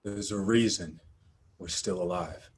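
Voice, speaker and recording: A man acting in a table read as a pragmatic, commanding activist leader, speaking in a measured, matter-of-fact way. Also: sits low, a little hiss or hum. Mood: firm. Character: pragmatic, commanding male activist leader Gus, planning a bluff.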